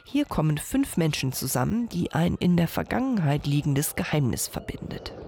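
Lively woman narrating a radio feature. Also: low voice, normal speed, breathy edge. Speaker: radio reporter.

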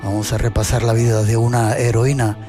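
A man's voice: mid-range voice, little pitch movement, fairly quick. Male interviewer hosting over music. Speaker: radio or TV programme host, interviewer. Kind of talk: interviewing a guest.

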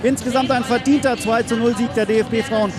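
A male sports reporter reading a lively match report. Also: very high-pitched, moderate pace, over music, a crowd in the background.

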